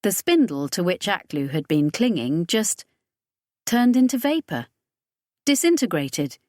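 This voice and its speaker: A woman's voice: fairly low pitch, sing-song, unhurried, a little mellow, no room sound, clean studio sound. A woman reading scripted narration from a science fiction audiobook.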